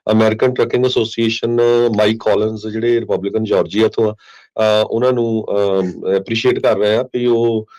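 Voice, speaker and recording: A man hosting a radio talk show, discussing news somewhat haltingly with English terms mixed in. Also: lowish voice, fairly even intonation, a little mellow, rough recording.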